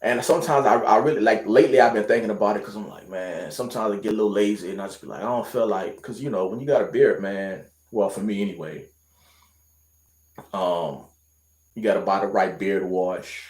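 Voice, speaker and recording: A man's voice: on the low side, brisk, a little mellow, reverberant. Laid-back man with a light Louisiana lilt. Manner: laid-back, rambling, lots of fillers and restarts. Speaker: hair vlogger answering viewer questions. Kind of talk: casual livestream chat.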